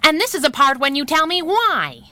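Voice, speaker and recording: Lively, feisty radio heroine. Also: female voice, high voice, normal speed, no room sound. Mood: impatient, probing. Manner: sassy, punchy, slangy. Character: feisty, wisecracking masked heroine, tough young wife. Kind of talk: 1930s pulp radio adventure acting.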